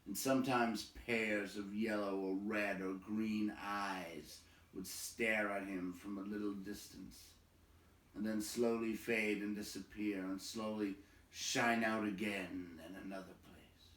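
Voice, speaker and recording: Man, storybook narrator, very unhurried, dramatic, measured, suspenseful pauses, echoey room. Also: lowish voice, steady tone, fairly bright tone. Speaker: storybook reader. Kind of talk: dramatic read-aloud narration of a fantasy novel. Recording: roomy echo, distant microphone.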